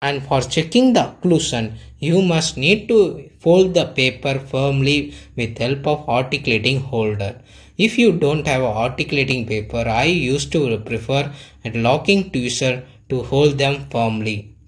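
A man's voice: medium pitch, measured pace. A man teaching a dental technique in an instructional voice-over, explaining step by step in an earnest, plain way. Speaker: dentist teaching clinical technique.

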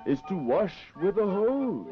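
Cheeky bossy penguin puppet, slow but swoopy, dull old tv audio. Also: male voice, high-pitched, big pitch swings. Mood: bossy, lazy. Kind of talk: 1960s children's puppet show acting. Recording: muffled 1960s TV soundtrack with music.

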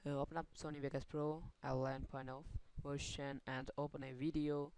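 Gravelly man giving a software tutorial. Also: on the high side, little pitch movement, a little fast, slight background noise. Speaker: tutorial host. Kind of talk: screen-recorded software tutorial.

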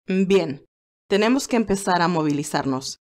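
A woman voicing a loyal, formal male aide to a gentleman in a romance story. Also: fairly low pitch, lively intonation, moderate pace, lightly acted. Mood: calm, reassuring.

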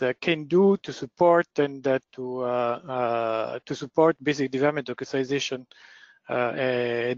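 A male process engineer giving a webinar presentation in a loud voice, pausing often with fillers and restarts. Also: medium pitch, gravelly, dry recording, dull audio. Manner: hesitant, many fillers and restarts. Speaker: guest lecturer, process engineer.

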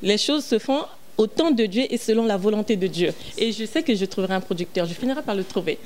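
An earnest woman from Congo, a gospel singer in her thirties, answering an interviewer at an unhurried, medium pitch. She speaks into a handheld mic held close by the host, with steady, plain delivery. She pauses often and restarts her phrases. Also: a little hiss or hum. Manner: earnest, many fillers and restarts. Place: small indoor studio set on a sofa.

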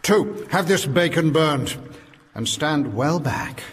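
Smug, high-voiced villainous doctor. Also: male voice, expressive, slow, long reverb tail, heavy background noise. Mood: smug, sinister. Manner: precise, sardonic, condescending. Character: villainous male doctor, smug mad-scientist mastermind. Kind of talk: sci-fi comedy acting.

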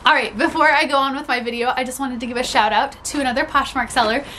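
A woman's voice: sits high, fairly even intonation, fast, music in the background. Chatty young woman with a slight cold. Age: young adult. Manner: chatty, upbeat, frequent "like" and laughs. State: slightly congested. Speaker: reseller vlogger. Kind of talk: casual haul vlog. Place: small home room with storage bins, indoors.

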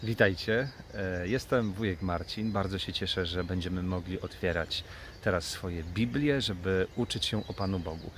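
A man's voice: sits low, normal speed. A man in his thirties presenting a children's Bible programme with addresses and prayer, recorded on a phone outdoors in woodland. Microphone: phone at arm's length. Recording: faint outdoor noise.

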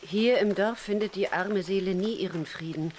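A woman's voice: medium pitch, moderate pace, background noise, close to an everyday voice. An actress playing a genteel, sympathetic 1920s Viennese lady in a radio drama, formal and subdued. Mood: subdued, regretful.

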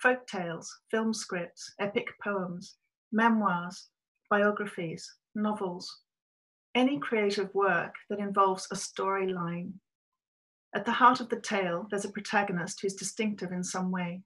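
A woman's voice: medium pitch, steady tone, fairly bright tone, low-quality recording. A middle-aged woman from Australia, a writer giving a prepared, reflective talk to camera from a small room over a webcam. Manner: measured, reflective storytelling.

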